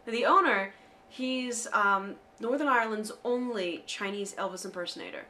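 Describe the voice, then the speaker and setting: A woman's voice: medium pitch, sharp tone, slight background noise. A young woman from outside Philadelphia with a Philly accent mixed with Derry, chatting casually on a podcast with lots of fillers. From Delaware County, Pennsylvania. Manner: chatty, quick asides, hedging with fillers. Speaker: podcast co-host. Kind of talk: casual chat.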